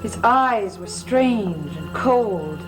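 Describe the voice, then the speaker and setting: A woman's voice: medium pitch, animated, extremely slow, rough recording. An actress playing an uneasy, concerned woman in an old gothic television soap, speaking earnestly and hesitantly. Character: uneasy, concerned female guest in a gothic mansion. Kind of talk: 1960s-70s gothic soap opera acting. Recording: old TV soundtrack with loud background music.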